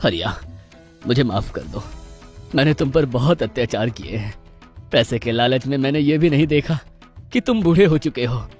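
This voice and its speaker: A man's voice: mid-range voice, animated, normal speed, long pauses, darkish tone, faint music behind, close to an everyday voice. A man playing a greedy village master in a cartoon story, speaking in a dramatic, emphatic way. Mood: remorseful. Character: greedy, cruel male village master, self-pitying when cornered. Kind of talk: Hindi cartoon story voice acting.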